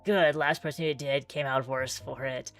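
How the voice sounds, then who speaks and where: A woman's voice: a bass voice, steady tone, moderate pace, voice pushed down. Woman putting on a terse, wry male protector. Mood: wry, guarded. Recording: music playing under the voice.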